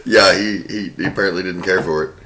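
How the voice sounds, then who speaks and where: A man's voice: sits low, steady tone, fast talker, sharp tone, slight background noise, low-quality recording. A man hosting a podcast, presenting film trivia in an informal way. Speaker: podcast host.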